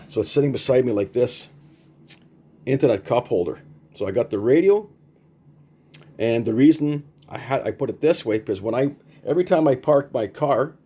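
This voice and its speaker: Mellow, rambling older man, casual show-and-tell. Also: mid-range voice, slightly rough. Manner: rambling, repetitive, plain-spoken. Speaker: hobbyist demonstrating a homemade radio mount.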